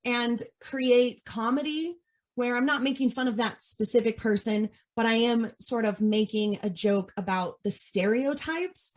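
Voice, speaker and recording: A woman's voice: on the high side, unhurried. A woman hosting a podcast, chatting enthusiastically with her guest. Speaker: podcast host. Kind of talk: casual interview chat.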